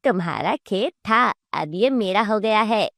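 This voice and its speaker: A greedy village landlord in a moral cartoon tale, played by a woman, menacing and commanding. The delivery is unhurried and dry, with big swings in pitch. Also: expressive, vocal fry, sharp tone, dry recording, exaggerated voice. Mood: smug.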